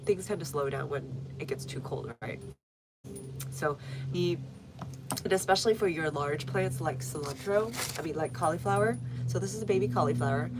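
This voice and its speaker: Woman, stuffy nose, low voice, chatty garden livestream host, noisy outdoor sound. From United States. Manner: chatty, reads viewer comments aloud, frequent fillers. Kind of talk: casual live-stream chat.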